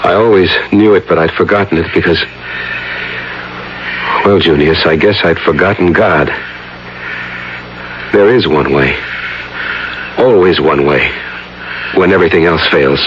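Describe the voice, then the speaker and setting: A haunted male professor speaks low and haltingly, full of solemn remorse. The sound is a muffled, hissy old radio drama. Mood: solemn, resolute. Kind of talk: 1970s radio drama acting. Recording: dull, noisy old radio recording.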